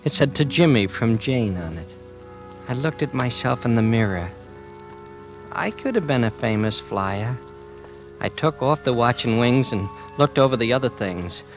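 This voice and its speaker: A man's voice: medium pitch, animated, normal speed, music in the background, close to an everyday voice. An actor playing a brooding, resentful young man who narrates his own story in a 1940s radio drama. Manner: quiet, sullen first-person narration. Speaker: narrator and lead.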